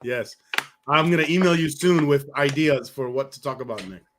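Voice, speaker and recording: A man's voice: medium pitch, moderate pace, a bit bright, slight background noise. A man hosting a podcast, chatting casually and thinking aloud in a friendly way, hedging with "you know". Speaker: podcast host. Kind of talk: casual conversation.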